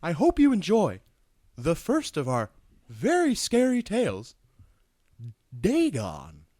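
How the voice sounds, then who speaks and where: A man playing a campy, gleefully spooky horror show host, introducing scary tales with drawn-out theatrical delivery. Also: sits high, wildly swooping pitch, darkish tone, no echo, studio quality, heavily acted. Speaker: podcast host. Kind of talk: hammy horror anthology introduction.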